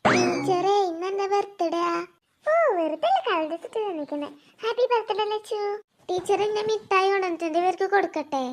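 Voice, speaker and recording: A performer puts on an extremely high, childlike cartoon voice for family comedy. The talk is chatty and colloquial, like children and aunties bantering at home. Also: lively intonation, a little fast.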